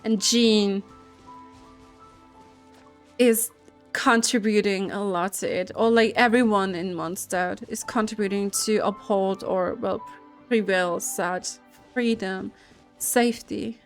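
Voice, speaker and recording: A woman's voice: medium pitch, steady tone, takes it slowly. A woman game streamer chatting casually and reading aloud as she plays, hesitant and thinking aloud. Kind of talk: casual chat and reading aloud while playing. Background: game music.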